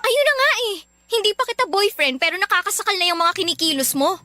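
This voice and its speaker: Very high, bright young evasive student. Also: female voice, sing-song, quick pace, no echo, heavily acted. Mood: irritated, exasperated. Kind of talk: radio drama dialogue acting.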